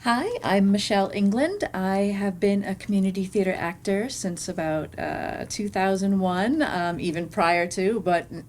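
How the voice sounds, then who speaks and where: A woman's voice: mid-range voice, a little slow, few pauses, a little mellow. Woman introducing herself, faint room noise. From Worcester, US. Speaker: panelist, community theater actor. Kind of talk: casual self-introduction.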